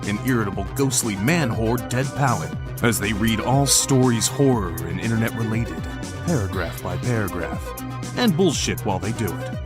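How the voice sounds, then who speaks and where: A man announces a scripted podcast intro with theatrical, tongue-in-cheek flair. His voice is fairly low and sits over clear music. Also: lively intonation, normal speed. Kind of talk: scripted narration. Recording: music under the voice.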